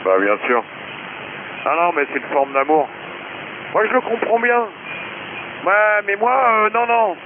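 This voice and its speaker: A man's voice: high-pitched, animated, unhurried, long pauses. A man chatting casually as an amateur radio operator, crude and slangy, over a shortwave transmission. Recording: hissy narrowband shortwave radio with static.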